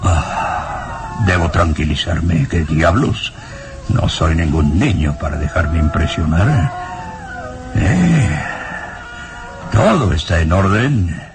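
An actor playing a frightened professor in an old radio serial, talking to himself in halting, trembling gasps as he tries to stay calm. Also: low-pitched, exaggerated voice. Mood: agitated. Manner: trembling, halting, gasping self-talk. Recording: radio drama with music underneath.

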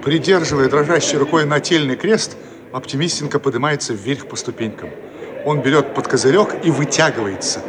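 An actor narrating the stage directions of a satirical radio comedy in an expressive, measured storytelling manner. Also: male voice, medium pitch, close to an everyday voice. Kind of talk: scripted dramatic narration. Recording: old radio recording, long reverb, clear noise.

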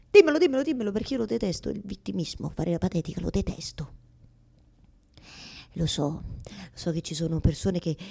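An actress playing a bitter, self-mocking woman who argues with God in a halting, repetitive theatrical monologue. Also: fairly low pitch, darkish tone. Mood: melodramatic, self-mocking. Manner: repetitive, halting, confessional, swinging from whispers to outbursts. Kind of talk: theatrical monologue, radio drama.

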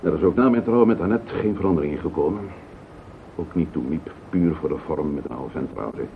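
An actor playing a callous, scheming murderer in a radio play, blunt and cynical, with a gravelly voice. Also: male voice, extremely low pitch, fairly quick. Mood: defiant. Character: callous scheming wife-murderer confessing without remorse. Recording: tinny, noisy old radio play recording.

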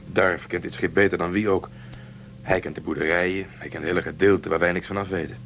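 An actor playing a serious, worried spaceship crew member in a Dutch radio drama, speaking in a measured way. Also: male voice, deep voice, steady tone, creaky, fairly bright tone, slight background noise.

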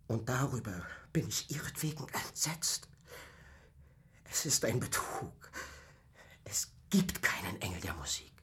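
A man's voice: mid-range voice, a bit bright. An actor in a German radio play playing a passionate young man in love, intense, wounded and pleading. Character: passionate young male lover, desperate and wounded.

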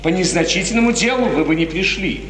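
An actor playing a self-centred ruling prince with an aristocratic, theatrical manner, in classical 1950s radio tragedy style. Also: male voice, sits high, animated, quick pace, fairly bright tone. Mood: gracious, effusive. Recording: distant, echoing, hissy 1950s radio recording.

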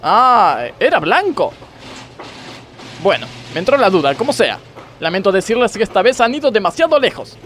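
An actor playing a pompous, stern blind factory boss in a comedic radio play, with an Argentine accent. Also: male voice, high voice, very animated, moderate pace, fairly bright tone, a little hiss or hum. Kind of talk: comedic radio theatre acting.

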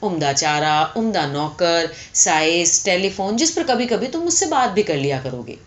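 A woman voicing an imperious, calculating young rich heiress in a story, firm and sharp as she dictates terms. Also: lowish voice, big pitch swings. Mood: commanding.

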